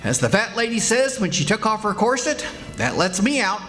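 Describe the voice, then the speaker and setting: An actor playing a stubborn, wisecracking husband in a 1940s radio comedy, bickering and joking. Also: male voice, high-pitched, very animated, normal speed, heavy background noise.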